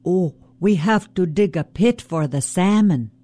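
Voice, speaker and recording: A woman voicing a loud, scheming male trickster raven who yells taunts and insults. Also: fairly low pitch, a little slow, no room sound. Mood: wheedling. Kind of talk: traditional oral storytelling.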